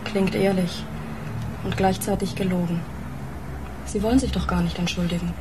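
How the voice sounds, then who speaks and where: An actress playing a blunt, wary fiancée in a radio crime drama, speaking in a curt, challenging manner. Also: female voice, on the low side, fairly even intonation, moderate pace, background noise, close to an everyday voice. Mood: curt, indignant.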